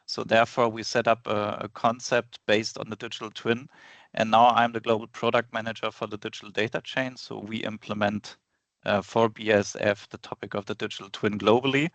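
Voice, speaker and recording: A man with a fairly low voice explains his work in a measured, orderly way. The sound is dry and close, heard over a video call. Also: little pitch movement, a bit bright, no room sound. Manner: measured, explanatory, with list-like phrasing. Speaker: product manager presenting. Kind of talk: business meeting introduction.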